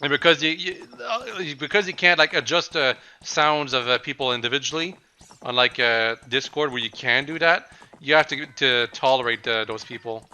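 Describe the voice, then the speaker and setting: A young man with a slightly raspy voice, giving casual, rambling commentary over a video game with frequent pauses and restarts. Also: mid-range voice, pushed and bright. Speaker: let's play commentator.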